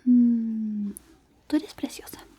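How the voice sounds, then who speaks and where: A woman doing a soft-spoken, half-whispered ASMR roleplay as a shy, caring high school classmate, gentle and hesitant. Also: slow pace, breathy edge. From Chile.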